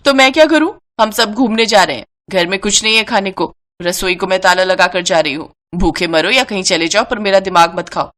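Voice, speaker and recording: A woman's voice: mid-range voice, brisk, few pauses, fairly bright tone, lightly acted. A woman voicing a cruel, bossy daughter-in-law in a story, snapping and domineering. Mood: angry, dismissive.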